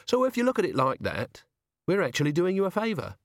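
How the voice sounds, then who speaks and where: A man's voice: high-pitched, huge pitch swings, fast, dry recording, studio quality, pitched above the performer's own voice, exaggerated voice. A man voicing a polite, menacing young upper-class killer in an audiobook. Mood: reasonable menace. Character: genial, menacing young male toff assassin.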